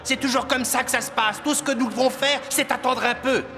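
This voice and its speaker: A man voicing an anxious, helpless Egyptian court magician in a cartoon, excitable and pleading. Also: very high-pitched, brisk, background noise. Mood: nervous reassurance. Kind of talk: cartoon voice acting. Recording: music under the voice.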